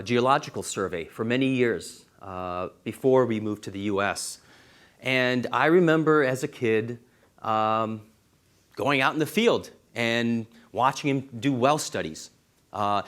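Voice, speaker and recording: Law prof from israel giving a seminar, earnest, punches the big numbers, middle pitch. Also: male voice. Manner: earnest, stresses key figures with emphasis. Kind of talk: university seminar talk.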